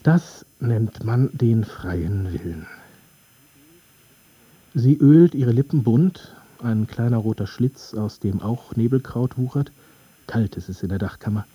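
Slow, very dark, husky brooding narrator. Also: male voice, mid-range voice, lively intonation, no echo, dull audio. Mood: wry, observing. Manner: terse fragments, deliberate, dry. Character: brooding, sardonic male literary narrator of 1801. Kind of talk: literary radio play narration, interior monologue.

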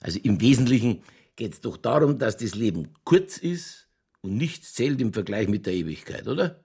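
Gravelly old Bavarian police chief. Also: male voice, mid-range voice, sing-song, moderate pace, dark tone. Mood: wry, curious. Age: older. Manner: colloquial, dry, placating. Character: older Bavarian police chief, folksy, sly, easygoing skeptic. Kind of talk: naturalistic radio crime drama acting.

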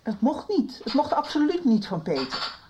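A woman's voice: sits high, sing-song, normal speed, background noise. An actress playing a chatty, welcoming middle-aged Dutch woman in a radio soap.

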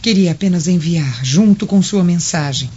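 A woman's voice: fairly low pitch, moderate pace, mellow, natural voice. A woman playing the hurt, submissive wife of a Roman governor in a radio drama, plaintive and apologetic. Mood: hurt, meek. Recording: dull lo-fi audio, faint noise.

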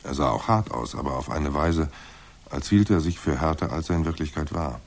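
Very deep, husky, deadpan private detective. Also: male voice, fairly quick, exaggerated voice. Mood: dry, observant. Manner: dry, deadpan. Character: laconic, wry male private detective narrating his own case. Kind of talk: hardboiled radio play narration and dialogue.

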